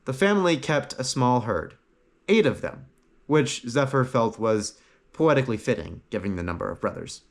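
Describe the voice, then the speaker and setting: A man reading a fantasy story as a narrator, with dramatic phrasing and frequent pauses. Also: medium pitch, big pitch swings, gravelly. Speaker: audiobook narrator.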